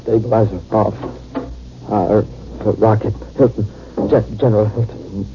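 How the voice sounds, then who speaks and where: A man acting in a 1950s radio drama, playing a dazed, injured colonel who speaks in halting fragments. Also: lowish voice, flat delivery, vocal fry, faint music behind. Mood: delirious, troubled. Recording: muffled, noisy 1950s radio recording.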